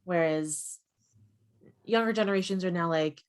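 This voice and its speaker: A woman's voice: on the low side, moderate pace, smooth. A young adult woman, a guest expert in a webinar conversation, explaining earnestly and often tagging her points with "right?". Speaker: guest expert on mental health.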